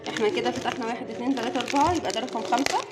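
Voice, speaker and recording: A woman's voice: a little high, steady tone, very fast, heavy background noise. A young woman with an Egyptian accent, chatting casually to viewers as she unboxes snacks and counts items aloud. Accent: Egyptian Arabic. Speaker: family vlogger and mother. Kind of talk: casual unboxing vlog chat.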